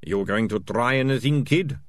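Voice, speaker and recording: A man reading aloud, voicing a rough, threatening male rat catcher with common speech. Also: mid-range voice, normal speed, no room sound, natural voice.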